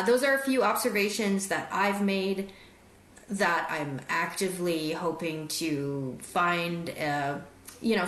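A woman's voice: lowish voice, fairly bright tone. A woman from Canada talking casually, rambling with many fillers and restarts. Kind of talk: casual conversation. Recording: roomy echo.

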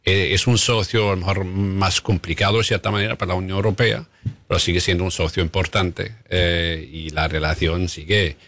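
A man speaking as a diplomat guest on a radio show, measured and careful, hesitating often, Italian his first language, on a studio microphone. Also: sits low, fairly even intonation, a bit bright, no echo. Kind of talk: radio interview answers.

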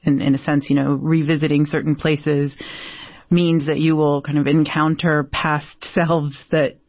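Halting, thoughtful woman on a dull line. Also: low-pitched, little pitch movement, dry recording. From Brazil. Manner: thoughtful, halting, many fillers. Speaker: poet, interview guest. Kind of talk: reflective interview answers. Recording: dull, dry lo-fi sound.

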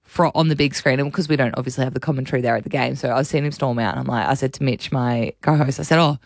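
A young Australian woman co-hosting breakfast radio, her voice hoarse and raspy, chatting in a rambling way with frequent laughs. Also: rumbling low, fast talker, dry recording, studio quality. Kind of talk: casual breakfast radio banter. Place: radio studio.

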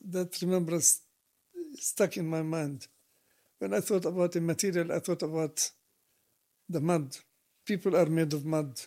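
An elderly man with a Palestinian Arabic accent recalls his life in an interview. His voice is mellow and relaxed, with little edge to it. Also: a little high, unhurried, pauses often. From West Bank. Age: 70s. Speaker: painter being interviewed. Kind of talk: reflective interview recollection. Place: recorded at home.

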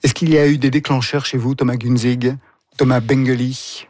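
Un homme qui anime un entretien littéraire et présente les livres avec aisance. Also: male voice, mid-range voice, little pitch movement, normal speed, a little mellow, no echo, clean studio sound. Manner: articulate, presents books at length. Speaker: host. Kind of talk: literary interview.

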